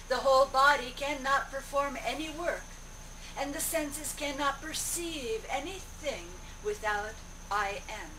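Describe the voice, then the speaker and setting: A woman delivering a spiritual discourse in deliberate, declarative short phrases, as a speaker relaying a teaching aloud. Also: a little high. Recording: distant mic, noisy amateur video.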